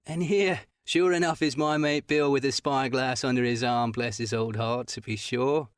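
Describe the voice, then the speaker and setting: A man's voice: mid-range voice, steady tone, moderate pace, clear, resonant tone, no echo, clean studio sound, natural voice. A man voicing a sly, menacing old pirate who talks in a half fawning, half sneering way, read as a character in an audiobook.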